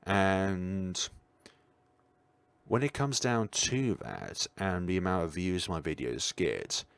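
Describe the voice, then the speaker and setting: Tired low-voiced man chatting on stream. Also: expressive, slow, vocal fry, clean tone, no echo. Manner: musing, reading chat aloud. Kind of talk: casual livestream chat.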